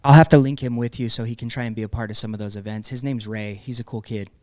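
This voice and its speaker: A man's voice: medium pitch, fairly even intonation, fast talker, a little mellow, no echo, clean studio sound. A man hosting a podcast, asking casual, slangy questions with some "um" fillers. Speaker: podcast host. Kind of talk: casual interview chat. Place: podcast studio. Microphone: podcast microphone.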